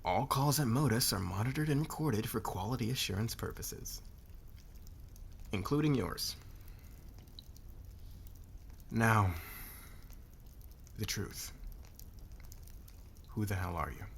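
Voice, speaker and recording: A man playing a demanding, smug corporate boss in an intimate ASMR roleplay, probing and deliberate, with a threatening manner. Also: fairly low pitch, expressive, vocal fry, slight background noise. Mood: cold, demanding. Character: dominant, demanding male corporate boss, smug and calculating interrogator.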